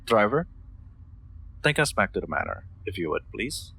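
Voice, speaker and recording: Guy playing a shy polite lord in audio roleplay, deep voice, really varied intonation, bit of background noise. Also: sing-song, moderate pace, creaky, sharp tone, slightly rough, heavily acted. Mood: kindly insistent. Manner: hesitant, polite, formal wording with stammered ums. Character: gentle, awkward young lord, courteous automaton owner. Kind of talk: scripted audio roleplay, intimate one-sided dialogue.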